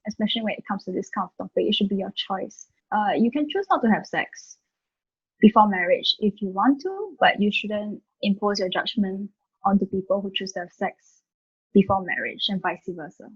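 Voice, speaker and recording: A woman's voice: medium pitch, animated, normal speed, husky, highs cut off. A woman in her twenties with a Singaporean accent, speaking frankly and laughing as a guest on a casual panel discussion. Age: 20s.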